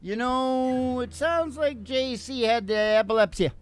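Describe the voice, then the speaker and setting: A man's voice: extremely high pitch, steady tone, unhurried. A man with a folksy, rambling way, performing as a singing country radio host with casual delivery. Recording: live music performance with acoustic guitar. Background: acoustic guitar.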